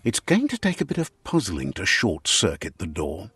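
A man playing a video game's storyteller narrator, with theatrical, measured, whimsical delivery over fable-like scripted narration. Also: low voice, wildly swooping pitch, over music. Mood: wry, guiding. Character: storyteller narrator of a post-apocalyptic animal fable. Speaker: game narrator.

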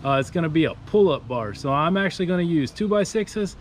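A man's voice: fairly high pitch, a little fast, slight background noise. A man in his thirties hosting a casual DIY video outdoors in a backyard, talking loosely to viewers with lots of "uh" fillers. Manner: relaxed, many "uh" fillers, addresses viewers as guys. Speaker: DIY channel host. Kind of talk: casual DIY vlog talk. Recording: music under the voice.

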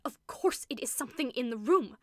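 A woman's voice: sits high, expressive, fairly quick, dry recording. A woman voicing a stern, tightly self-controlled woman who speaks curtly and dryly. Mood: tense curt. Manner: curt, dry, rigid.

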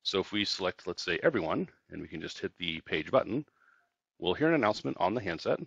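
A man's voice: sits low, very animated, quick pace, vocal fry, fairly bright tone, breathy edge, no room sound, muffled recording. A man narrating a casual product tutorial as a company trainer, explaining things step by step.

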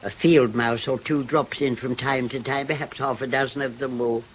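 An actor with a British accent playing a timid, eager, polite little mole in a radio drama. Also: male voice, mid-range voice, fairly even intonation, normal speed, close to an everyday voice. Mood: eager, modest. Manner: meek, earnest, apologetic. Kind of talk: 1970s BBC radio drama acting. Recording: vintage radio broadcast, faint background noise.

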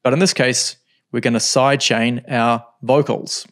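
Middle-aged guy walking thru a synth plugin tutorial, laid back, lots of ums, mid pitch. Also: moderate pace. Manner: relaxed, explanatory, thinking aloud with ums. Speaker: music production tutor. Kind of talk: screen-recorded software tutorial.

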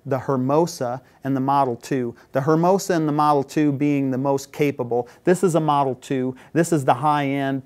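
A man's voice: medium pitch, a little mellow, clear, resonant tone. A man in his forties, a shop tech, giving a casual product demo in a parts shop, upbeat with lots of "you know" fillers. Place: shop set with shelves of parts.

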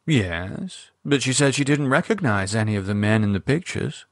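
A man's voice: mid-range voice, lively intonation, moderate pace, no echo, clean studio sound, lightly acted. A man voicing a languid, refined upper-class woman in an audiobook. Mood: concerned. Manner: drawling, world-weary. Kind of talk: audiobook character voice.

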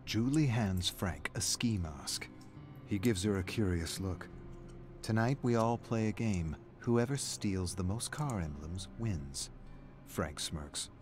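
A man's voice: deep voice, animated, takes it slowly, a little mellow, faint music behind. A man reading a scripted, dramatic story narration as the narrator. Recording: background noise under game narration.

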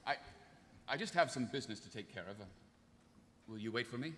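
A man's voice: medium pitch, expressive, fairly quick, natural voice. A man playing an earnest, square-jawed secret-agent hero in a live table read, with declamatory delivery. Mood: tender, awkward. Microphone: table microphone on stand. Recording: noisy reverberant hall, PA microphone.

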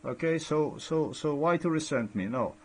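A man's voice: fairly high pitch, expressive, moderate pace, a little mellow, slightly rough. Hesitant man talking to his webcam. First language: not English. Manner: hesitant, many fillers and restarts. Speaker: vlogger. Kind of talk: rambling screen-share commentary. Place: small indoor room. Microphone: webcam at desk.

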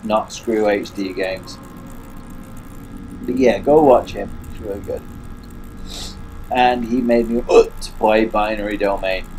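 A man's voice: on the low side, takes it slowly, long pauses, a little mellow, soft background music, rough recording. An English man commentating a horror game, muttering and grumbling to himself, with a stuffy nose and hiccups. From England. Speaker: gaming YouTuber. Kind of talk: casual let's play commentary.

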